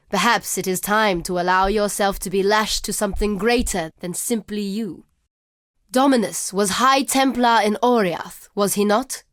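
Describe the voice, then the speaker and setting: A woman playing a proud, wary desert tribeswoman warrior in a video game, speaking in a solemn, measured and formal way. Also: mid-range voice, no room sound, studio quality, natural voice. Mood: stern, foreboding. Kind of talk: video game character dialogue.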